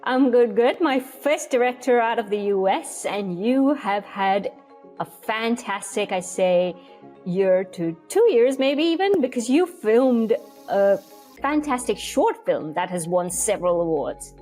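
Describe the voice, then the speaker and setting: A woman's voice: medium pitch, lively intonation, unhurried, dark tone, faint music behind. A woman hosting a podcast interview, asking curious questions and praising her guest. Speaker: podcast host. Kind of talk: casual interview.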